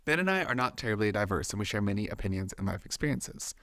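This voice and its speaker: A man's voice: on the low side, expressive, fast, a bit bright. A man co-hosting a podcast in laid-back banter, giggling often. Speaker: podcast co-host.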